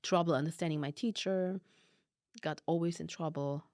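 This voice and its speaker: A woman in her thirties, raised in Germany by immigrant parents, with a mixed German and Arabic-tinged accent, telling her life story as a podcast guest with animated retellings. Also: low voice, moderate pace, dry recording, clean studio sound. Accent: mixed German and Arabic-tinged non-native English. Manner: animated, acts out past dialogue, frequent likes and ums. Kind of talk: personal storytelling.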